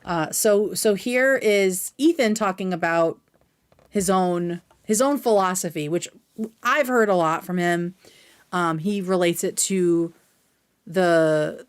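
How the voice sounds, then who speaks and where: A woman hosting a livestream, giving casual, conversational reaction commentary with animated emphasis. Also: on the low side, clean tone.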